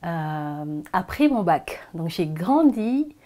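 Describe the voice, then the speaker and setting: A woman, sharing her personal story in a soft, hesitant way with frequent pauses. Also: on the low side, big pitch swings. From Senegal. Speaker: vlogger. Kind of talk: personal confessional vlog.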